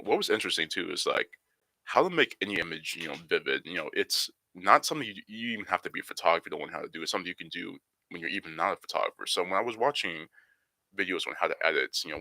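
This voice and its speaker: A young man, a photographer guest on a podcast, explaining his craft in a relaxed way with lots of "you know" fillers. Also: sits low, creaky, no room sound. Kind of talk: podcast interview answers.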